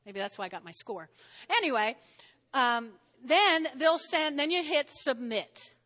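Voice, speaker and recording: A woman pastor with a New Jersey accent, preaching a sermon in a lively, conversational way and often checking in with "all right?". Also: high voice, measured pace. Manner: lively, spells words out, frequent "all right?" check-ins. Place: church sanctuary. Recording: long hall reverb.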